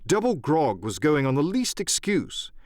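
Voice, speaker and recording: A man narrating an adventure novel as dramatic, scripted storytelling in a measured literary style. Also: a little high, huge pitch swings, a little mellow, no echo, clean studio sound. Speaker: audiobook narrator and voice actor.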